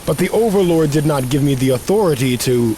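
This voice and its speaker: An honorable martial artist on a quest, played by a male actor in a video game. His voice is on the higher side for a man. He speaks with earnest, polite formality. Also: lively intonation, a little fast, dark tone, a little hiss or hum, natural voice. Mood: respectful concern. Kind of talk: video game cutscene acting.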